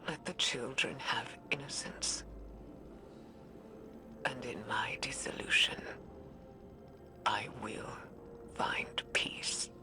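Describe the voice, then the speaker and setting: An actress playing a weary, guilt-ridden old woman scientist recording a log in a frail, breathy voice for a video game. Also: a bass voice, in a whisper. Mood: solemn, guilty. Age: older. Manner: slow, weary, solemn. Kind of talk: dramatic recorded log monologue. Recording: music behind the voice.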